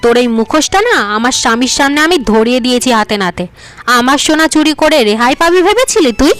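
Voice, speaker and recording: A woman's voice: high-pitched, lively intonation, quick pace, fairly bright tone. A woman voicing a scheming, jealous young wife in a cartoon who accuses her older sister sharply. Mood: taunting.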